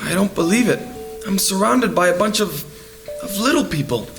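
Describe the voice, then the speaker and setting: A man's voice: sits high, lively intonation, normal speed, gravelly, over music. A man playing a gullible, baffled young human in a comic radio play.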